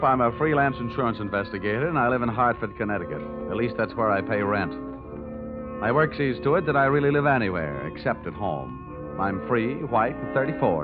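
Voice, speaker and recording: A man's voice: mid-range voice, natural voice. An actor playing a cocky, wisecracking insurance investigator in his thirties in a 1940s radio detective drama, with a wry, hard-boiled manner. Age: 30s. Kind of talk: 1940s radio detective acting, first-person narration. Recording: dull old radio recording with music.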